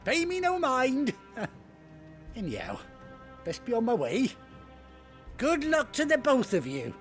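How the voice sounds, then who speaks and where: A cheerful, scatterbrained older English herder in a video game. His high voice swings wildly up and down as he rambles slowly. He is folksy and quick to poke fun at himself. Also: huge pitch swings, faint music behind, full character voice. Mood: folksy, rambling. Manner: folksy, rambling, self-deprecating. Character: cheerful, muddle-headed older male chocobo herder.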